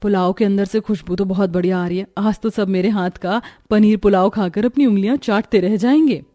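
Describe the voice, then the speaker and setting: A woman's voice: mid-range voice, fairly quick, dark tone, natural voice. A woman voicing an earnest, often complaining young daughter-in-law in a Hindi cartoon story, dutiful but overworked. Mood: confident.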